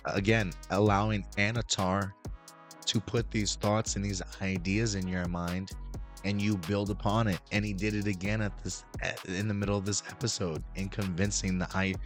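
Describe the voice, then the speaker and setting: Guy with a worn-out hoarse voice, real low, rambly review chat, faint music under it. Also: level tone, normal speed. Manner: rambling, with fillers and restarts. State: hoarse, recovering from losing his voice. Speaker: show host and reviewer. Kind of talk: casual review talk to camera.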